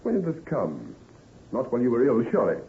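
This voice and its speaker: A brisk doctor and trusted friend in a vintage radio play. His mid-range voice rises and falls freely with emphatic assurance. It sounds narrow, like an old broadcast. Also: mid-range voice, huge pitch swings. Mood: concerned, probing. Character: male doctor, brisk, solicitous friend and adviser. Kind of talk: old-time radio drama acting. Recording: tinny old-time radio recording.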